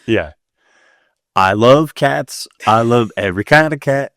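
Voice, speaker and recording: A man's voice: medium pitch, animated, measured pace, fairly bright tone, dry recording, studio quality. A man co-hosting a casual talk show, chatting through listener questions in conversation. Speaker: podcast co-host.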